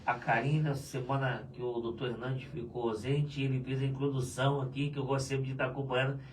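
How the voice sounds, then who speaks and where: A hoarse man chatting loosely on a live union show, tagging his sentences with "né?". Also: mid-range voice, little pitch movement, brisk. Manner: loose and chatty, many "né?" tags. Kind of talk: casual live chat answering viewers. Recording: distant mic with faint room noise.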